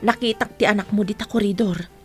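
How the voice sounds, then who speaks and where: A woman's voice: mid-range voice, steady tone, quick pace, soft background music, natural voice. A woman playing a helpful, matter-of-fact friend in a radio drama, informative and practical as she talks. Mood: concerned, practical. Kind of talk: Ilocano radio drama acting.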